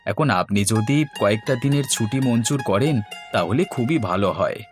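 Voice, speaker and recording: A man's voice: fairly low pitch, expressive, moderate pace, soft background music, natural voice. A man voicing a humble working husband in a fairy-tale cartoon, polite, coaxing and eager to please. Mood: deferential.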